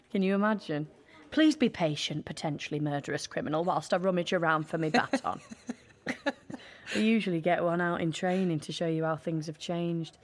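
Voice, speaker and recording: A woman's voice: on the low side, moderate pace, lightly acted. An actress playing a seasoned British policewoman in an audio drama, dry and informal as she mentors a newcomer. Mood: wry, amused. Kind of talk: naturalistic audio drama acting.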